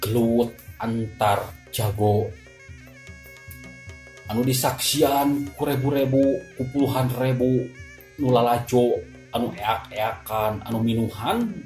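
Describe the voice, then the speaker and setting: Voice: mid-range voice, steady tone, slow pace, long pauses, darkish tone. A storyteller with a Sundanese accent, politely addressing listeners and narrating a folk tale. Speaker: storyteller and channel host. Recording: echoey voice over clear background music. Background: background music.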